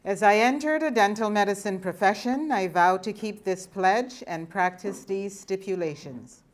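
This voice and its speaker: A woman giving a formal ceremony address and leading a group pledge in a measured, deliberate way. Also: mid-range voice, slight background noise.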